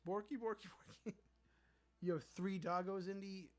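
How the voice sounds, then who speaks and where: A young man runs a relaxed livestream, reading out viewer messages and riffing on them with playful asides. His voice sits a little on the high side for a man. The talk is loose and conversational. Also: moderate pace. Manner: chatty, reads viewer comments aloud and riffs on them playfully. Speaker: livestream host reading chat. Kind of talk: casual livestream chat.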